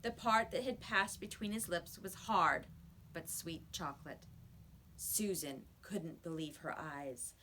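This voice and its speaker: A woman's voice: on the low side, takes it slowly, pushed and bright, a little hiss or hum. A woman reading a children's story aloud like a teacher, with emphatic, dramatic storytelling.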